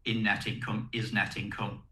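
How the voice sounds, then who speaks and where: A man's voice: lowish voice, completely flat delivery, normal speed, pushed and bright, roomy sound. A man presenting company results on an earnings call in a methodical, explanatory way, point by point.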